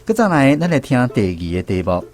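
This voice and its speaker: A man's voice: mid-range voice, big pitch swings, brisk, a little mellow. A man with a Taiwanese accent hosting an educational language quiz on the radio. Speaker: radio host of a language segment.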